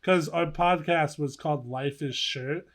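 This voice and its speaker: A young man co-hosting a casual podcast, telling stories in an animated, giggly way. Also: on the high side, unhurried. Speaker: podcast co-host. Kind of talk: casual podcast chat, reminiscing.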